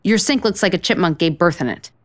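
A woman's voice: fairly low pitch, lively intonation, fast talker, no echo, studio quality, lightly acted. An actress playing a blunt, exasperated single woman in a romantic comedy audio drama, sarcastic, emphatic and profane. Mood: indignant ranting. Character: blunt, exasperated, foul-mouthed single woman, sharp-tongued cynic.

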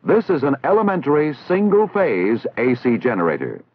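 Casting: American man for vintage army instructional narration, measured and didactic with animated intonation, through tinny old film audio. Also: mid-range voice. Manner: formal, measured, didactic enunciation. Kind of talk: scripted instructional narration, 1960s military training film. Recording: tinny, band-limited 1960s film soundtrack.

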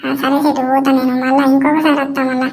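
A wily old village grandmother acted by a woman from a comedy cartoon, high-pitched and rapid, with little rise and fall. She wheedles and complains evasively in a Telangana Telugu accent. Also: little pitch movement. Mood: evasive, coaxing. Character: wily, pleading elderly village grandmother buying on credit.

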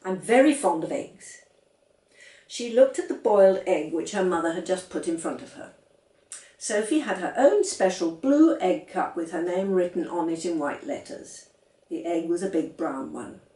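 A woman's voice: on the low side, animated, normal speed, dark tone. An older woman reading a children's story aloud in a living room. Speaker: storybook reader. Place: small living room, indoors. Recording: roomy, distant microphone.

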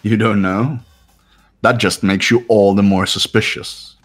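A man's voice: on the low side, steady tone, a little slow, fairly bright tone, soft background music. A man voicing a haughty, suspicious young student in a visual novel game, talking in a cold, condescending, accusatory way. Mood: accusing.

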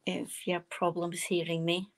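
A woman with a quiet voice, chatting and explaining each step as she demonstrates card making at her craft desk. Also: on the low side, monotone, normal speed, poor audio. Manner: chatty, explaining steps while working.

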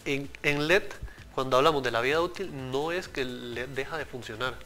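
Bright-voiced man explaining. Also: mid-range voice, a little fast, faint music behind. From Central America. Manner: explanatory, earnest, addresses host directly. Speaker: product engineer guest. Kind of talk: TV studio interview. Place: TV studio. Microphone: lapel.

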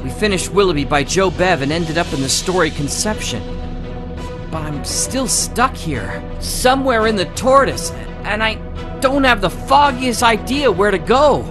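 High, animated lost adventurer played by a man. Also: moderate pace, music in the background. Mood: weary, puzzled. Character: lost, exasperated male adventurer talking to himself. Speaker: podcast host in a comic framing story. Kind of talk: audio drama monologue.

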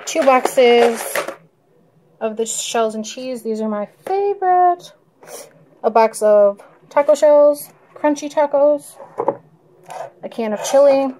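Young woman, vlogger, casual and chatty, unhurried, handheld camera, noisy background. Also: high-pitched, animated. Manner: chatty, enthusiastic about food. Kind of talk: casual grocery haul vlog. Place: parked car, then kitchen.

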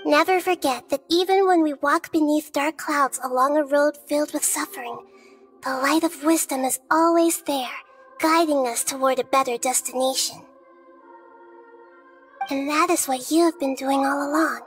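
High-voiced, solemn little goddess girl, acted by a woman. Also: soft background music, close to an everyday voice. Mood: gentle reassuring. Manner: measured, solemn, storytelling. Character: wise, gentle, childlike goddess girl. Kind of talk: scripted video game dialogue.

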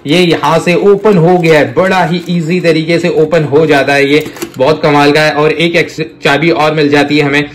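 A man with a slightly high voice presents toys in casual chat. He is effusive, stacking praise words, sprinkling in English and calling his viewers friends. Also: steady tone, normal speed, a little hiss or hum. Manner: effusive, repeats superlatives and addresses viewers as friends. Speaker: toy review host.

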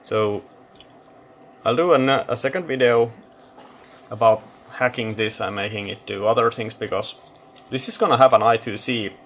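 A man giving a casual technical demonstration, thinking aloud in a halting, matter-of-fact way. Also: medium pitch, slight background noise. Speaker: electronics hobbyist presenter. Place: indoor electronics workbench.

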